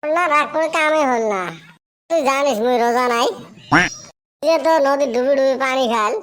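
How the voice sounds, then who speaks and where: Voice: high voice, moderate pace, fairly bright tone. A performer voicing a teasing, exclamatory village youth in a comic cartoon skit. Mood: bantering.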